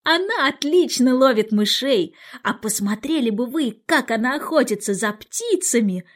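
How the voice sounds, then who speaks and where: Woman as an eager high-voiced little girl. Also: lively intonation, moderate pace. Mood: excited, proud. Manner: earnest, exclaiming. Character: eager, polite, impulsive young girl.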